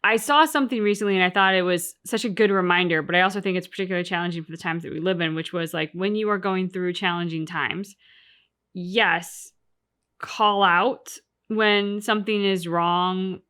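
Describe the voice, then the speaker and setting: A woman's voice: on the low side, steady tone, normal speed, a bit bright, smooth. A woman hosting a reaction channel, talking casually and reflectively as she discusses a game's story in an earnest way. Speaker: host of a game reaction channel.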